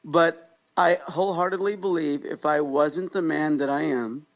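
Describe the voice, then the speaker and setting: An American man with a slightly high voice and a creaky, gravelly edge chats as a podcast co-host. He tells stories in an upbeat, jokey, conversational way. The audio is a little dull and muffled. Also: normal speed. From Pakistan-born, grew up in Kansas, United States. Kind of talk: casual conversational podcast chat.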